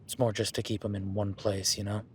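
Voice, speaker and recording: A man voicing a rugged, protective cowboy rancher in a listener-addressed audio roleplay, talking in a low-key, calm, instructive way that often drops to a hush. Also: a little fast, slight background noise, lightly acted. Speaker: ranch hand tracking a beast.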